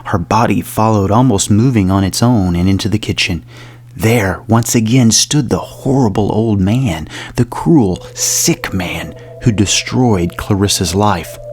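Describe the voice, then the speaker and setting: A man narrating a horror story in a measured, literary and ominous storytelling manner. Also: fairly low pitch, slightly rough. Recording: music behind the voice. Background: soft music.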